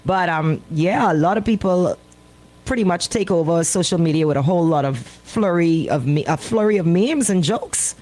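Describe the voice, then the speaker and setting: A woman with a Bahamian accent who co-hosts a radio show, chatting casually in an animated, opinionated and emphatic way. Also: fairly low pitch, normal speed, a little hiss or hum. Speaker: radio host.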